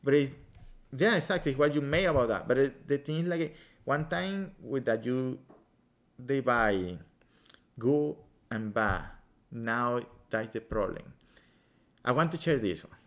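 A man from Venezuela, speaking English with a Venezuelan Spanish accent, earnestly explaining his ideas in halting English with many restarts, chatting as a podcast guest. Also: mid-range voice, expressive. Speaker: yoga teacher, podcast guest. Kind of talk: casual podcast chat.

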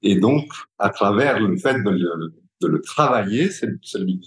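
Low-voiced Belgian French man, reflective. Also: lively intonation, a little slow. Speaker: youth psychiatric care worker, interviewee. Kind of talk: reflective spoken interview.

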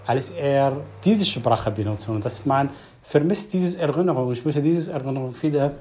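A man from Syria, speaking haltingly in German about missing his mother tongue, searching for words. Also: medium pitch, expressive, darkish tone. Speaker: interviewee. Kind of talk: personal testimony.

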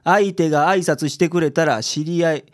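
Man playing a gruff Kansai private detective. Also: on the high side, normal speed, lightly acted. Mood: matter-of-fact, sure. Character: gruff, self-assured male private detective. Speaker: cast member of a community radio show. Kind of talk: radio drama dialogue.

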